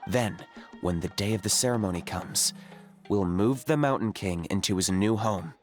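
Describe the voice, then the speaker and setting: Male actor as a composed young hunter for hire, lowish, measured delivery, faint game music. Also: lightly acted. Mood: calm. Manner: calm, measured and matter-of-fact. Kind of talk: video game dialogue.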